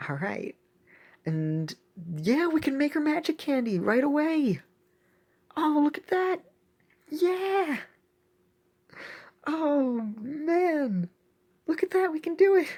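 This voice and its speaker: A woman giving casual, excitable gameplay commentary as a video host, thinking aloud as she plays. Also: high voice, takes it slowly, long pauses. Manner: excitable, exclaiming often; thinks aloud while playing.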